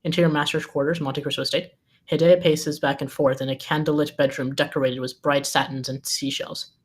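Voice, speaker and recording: Narrator for a screenplay table read: medium pitch, reads scene headings and action lines as scripted narration. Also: flat delivery, fairly quick, husky. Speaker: narrator reading stage directions.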